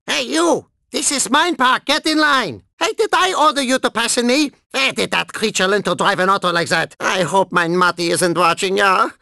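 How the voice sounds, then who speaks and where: A man voicing a deranged, boastful, whiny German cyborg theme park boss in a video game, with a German accent and a theatrical, cartoonish, high-pitched delivery. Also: moderate pace, vocal fry, hardly any pauses, dry recording, clean studio sound, exaggerated voice. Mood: peeved. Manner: theatrical, excitable, gleefully silly.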